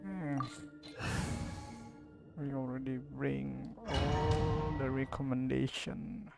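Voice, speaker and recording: Slow, creaky-voiced gamer muttering commentary. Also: medium pitch. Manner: muttering short fragmented remarks to self. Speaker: gaming streamer playing an action RPG. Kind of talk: casual gameplay commentary. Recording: loud game music behind. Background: loud game music.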